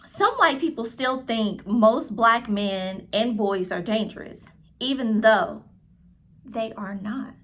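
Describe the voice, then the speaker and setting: A woman's voice: fairly high pitch, slow pace, fairly bright tone, full character voice. A woman reading the part of a blunt, firm older sister in a storybook.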